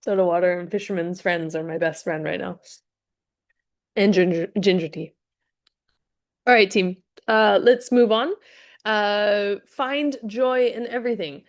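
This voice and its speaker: Hoarse woman with a cold, chatty. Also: medium pitch, unhurried, long pauses. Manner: chatty, with frequent restarts. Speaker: fitness coach hosting a live session. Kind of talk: casual live-streamed talk to a chat.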